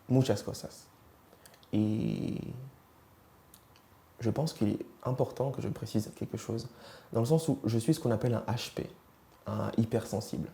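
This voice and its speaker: Gravelly young man, halting speech. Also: mid-range voice, warm tone. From Rwanda. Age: 20s. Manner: hesitant, searching for words. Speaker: interviewee. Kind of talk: personal interview, switching languages.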